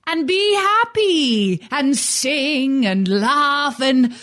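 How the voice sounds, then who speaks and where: Lady playing a meek nice polite chap, high voice, really up and down, kids audiobook acting. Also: animated, extremely slow, fairly bright tone, exaggerated voice. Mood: cheerful, warm. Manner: polite, hesitant, earnest. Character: meek, polite, cheerful male clerks and well-wishers. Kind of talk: children's audiobook dramatisation.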